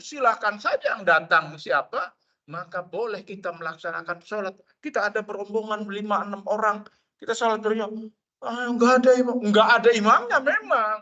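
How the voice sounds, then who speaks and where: Man, Indonesian-accented preacher, lively mosque lecture, dull lo-fi sound. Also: fairly high pitch. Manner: expressive, acts out quoted people in asides.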